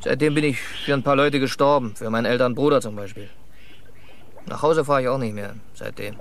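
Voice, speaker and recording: A man acting a troubled, defensive young driver with a criminal record in a German radio crime play, speaking haltingly. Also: mid-range voice, natural voice. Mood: bitter, confessing. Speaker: radio drama actor.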